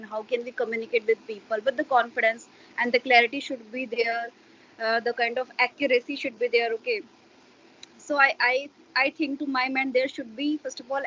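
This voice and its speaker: A woman's voice: fairly high pitch, a bit bright, dull audio. A woman, a Hindi speaker, explaining her views in an interview with a hesitant manner and many fillers. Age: adult parent. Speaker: interview guest. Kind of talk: casual explanatory interview talk.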